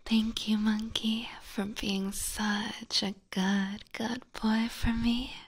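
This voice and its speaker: A woman's voice: fairly high pitch, level tone, slow. A woman streaming whispered ASMR roleplay in a playful, teasing, coy manner, close to a binaural microphone. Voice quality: breathy, whispery. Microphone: close binaural ear microphone.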